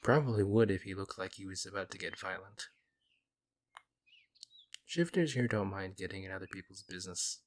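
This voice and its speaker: A man's voice: low voice, normal speed, long pauses, natural voice. A man playing a friendly, teasing, protective werewolf in an ASMR audio roleplay, talking casually and playfully to the listener. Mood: reassuring, protective.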